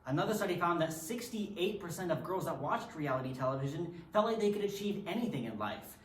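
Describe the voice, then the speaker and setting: A man's voice: on the high side, lively intonation, a little fast, slight background noise, close to an everyday voice. A man performing in a readers theatre piece with rehearsed, theatrical delivery. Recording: echoey room, distant from microphone.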